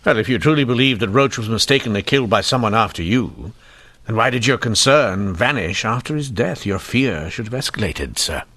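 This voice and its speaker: A man's voice: fairly low pitch, expressive, fairly quick, fairly bright tone. An actor playing a shrewd, dogged police inspector in a period radio drama, formal and sardonic in his speech. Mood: wry, probing. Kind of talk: old-time radio drama acting.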